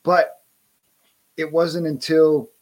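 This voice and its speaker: A man in casual conversation, friendly and quick to laugh, often repeating words as he starts a thought. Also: a little high, little pitch movement, darkish tone.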